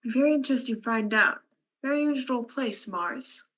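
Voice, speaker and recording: Woman as bright-voiced, musing expedition scientist. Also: on the high side. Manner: measured, musing, wry. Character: fatalistic, cerebral male scientist on a Mars expedition. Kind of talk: student science fiction radio play.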